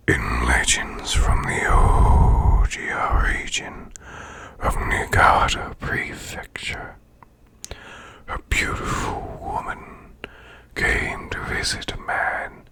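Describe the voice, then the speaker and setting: A man voicing a haughty demon CEO in an ASMR roleplay, with a breathy demonic growl and a formal, menacing yet soothing manner. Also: rumbling low, heavily acted. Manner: slow, pompous, formal, deliberate.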